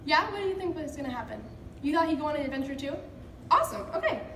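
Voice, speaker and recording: A woman's voice: sits high, lively intonation, fast, fairly bright tone. A woman teacher leading an interactive picture-book read-aloud for children, encouraging and prompting with questions. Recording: echoey room, distant mic, background noise.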